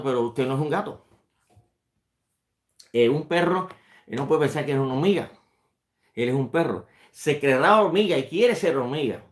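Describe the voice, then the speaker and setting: A man's voice: fairly high pitch, reverberant. A man who is a rabbi, giving an unscripted religious lesson and often stopping to repeat a word as he searches for the next one. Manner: halting, repeats words while searching for them. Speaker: rabbi teaching a study class.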